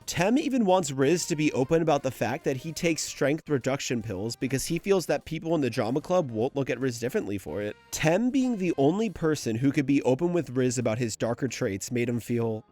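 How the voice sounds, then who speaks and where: A man's voice: a little high, darkish tone. A young man giving casual, conversational scripted narration as a video essayist. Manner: informal, conversational.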